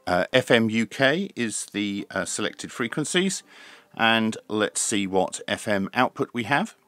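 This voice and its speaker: A man's voice: lowish voice, expressive, unhurried, pushed and bright, no echo. A man giving an informal hands-on product review, explaining things methodically, step by step. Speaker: radio reviewer, channel host. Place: small indoor room at a desk.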